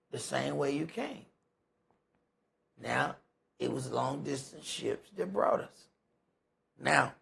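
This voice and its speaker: Gravelly, husky preacher. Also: male voice, on the high side. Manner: emphatic, rhetorical questions, folksy phrasing. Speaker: preacher leading a Bible study. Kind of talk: conversational sermon with scripture commentary.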